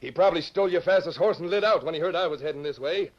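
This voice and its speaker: An actor playing a blustery frontier gunslinger with folksy cowboy talk in an old-time radio western. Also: male voice, fairly high pitch, rapid-fire, natural voice. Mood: mock furious. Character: loud blustering frontier gunslinger feigning rage. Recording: muffled vintage radio recording.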